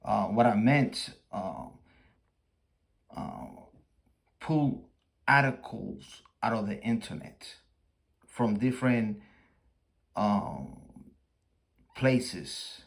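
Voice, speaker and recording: Slow, laid-back man with a Spanish accent. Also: on the low side, creaky. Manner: relaxed, halting, full of fillers. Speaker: livestream host. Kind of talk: casual chat with an AI.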